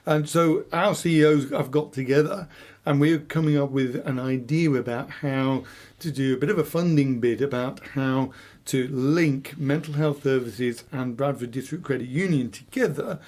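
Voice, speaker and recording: A man's voice: medium pitch, normal speed, darkish tone. A man in a conversational radio discussion, speaking as a money trainer with an enthusiastic, interjecting manner.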